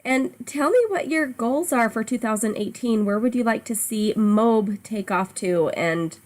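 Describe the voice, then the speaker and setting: A woman's voice: fairly high pitch, measured pace, darkish tone. A woman interviewer asking questions, encouraging and upbeat. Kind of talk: casual phone interview.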